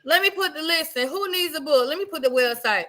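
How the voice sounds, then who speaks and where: High-pitched woman, host, bright and forceful tone, animated chatty delivery. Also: brisk. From Florida. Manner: chatty and animated, addresses viewers as y'all. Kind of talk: casual livestream chat.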